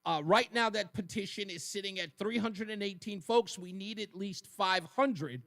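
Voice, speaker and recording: Talk radio host, man, notably high voice, conversational live interview delivery. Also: moderate pace, a bit bright.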